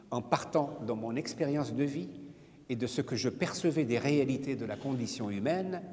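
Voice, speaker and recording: An older man from Morocco, not a native French speaker, reading a prepared lecture as a poet in a measured, solemn way. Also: mid-range voice, a little mellow. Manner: measured, solemn, deliberate phrasing. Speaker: poet giving a talk. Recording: reverberant hall, from a distance.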